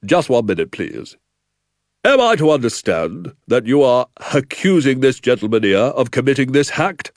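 A man voicing a stern, officious village police sergeant who speaks in slow, ungrammatical country talk. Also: medium pitch, very animated, no echo, studio quality, voice pushed up, lightly acted.

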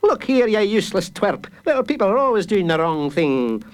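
A man's voice: high voice, big pitch swings, a little fast, voice pushed up. A man voicing a cruel, sneering prison guard who snarls insults at a child.

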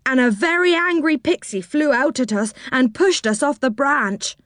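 A woman's voice: high voice, expressive, moderate pace, fairly bright tone, no room sound, clean studio sound, pitched above the performer's own voice. A woman voicing a small, soaked sailor doll who speaks in a plaintive, woeful way.

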